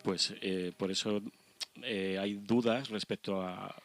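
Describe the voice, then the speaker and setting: A man, explaining facts haltingly and casually as a radio co-presenter. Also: on the low side, steady tone, noisy background. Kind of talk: casual factual commentary. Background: background music.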